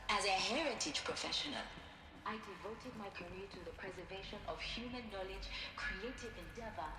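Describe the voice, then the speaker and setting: A woman's voice: medium pitch, natural voice. A woman playing a dignified, devoted heritage scholar giving a formal, solemn presentation in a video game. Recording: echoing space, music underneath.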